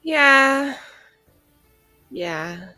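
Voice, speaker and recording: Woman, casual tabletop banter, expressive intonation, unhurried, dry recording, music behind. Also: high-pitched, dry recording. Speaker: player in a tabletop roleplaying game.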